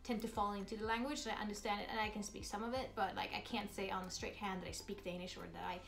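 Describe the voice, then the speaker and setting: A woman's voice: mid-range voice, steady tone, fast, a bit bright, faint music behind. A woman in her thirties from Sweden with a Swedish accent, chatting upbeat and bubbly through a vlog Q&A. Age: 30s. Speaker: vlogger. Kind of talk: casual Q&A vlog.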